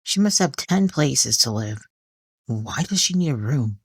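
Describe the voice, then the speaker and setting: Woman performing proud gracious female singer, audio drama, composed, unhurried, dry close sound. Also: very deep voice, animated, husky, dry recording, full character voice. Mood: suspicious. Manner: composed, measured, polite. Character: poised proud singer, outwardly gracious, inwardly wary woman.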